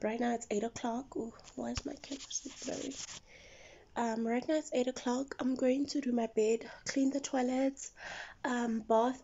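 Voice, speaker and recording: Vlogger, female, twenties, South African accent. Slightly high pitch, informal chatty delivery, muffled phone recording. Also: creaky, dark tone. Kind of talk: casual chat to camera. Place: mostly a bedroom at home. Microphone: phone camera at arm's length. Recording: dull lo-fi phone audio.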